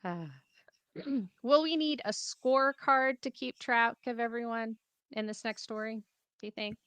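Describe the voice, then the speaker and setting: A woman co-hosting a pop culture podcast, with a bright, clear voice. She chats casually and drops playful asides while reading rumor stories. The sound is dry and close. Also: a little high, a little slow, no echo. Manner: lively, jokey, reads rumors with quips. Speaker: podcast co-host. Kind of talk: casual chat and reading news.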